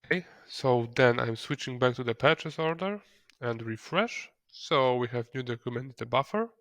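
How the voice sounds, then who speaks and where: A non-native English-speaking man narrating a software tutorial step by step, in a hesitant way. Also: mid-range voice, lively intonation, moderate pace, no echo. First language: not English. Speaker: software trainer.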